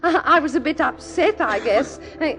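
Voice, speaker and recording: Radio drama bride, very high pitch, jittery, timid, naive, stammering. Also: female voice, expressive, moderate pace, a bit bright, faint music behind. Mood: flustered confiding. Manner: fretful, stammering, hesitant. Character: nervous, naive young bride, timid daughter. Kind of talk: 1940s radio acting.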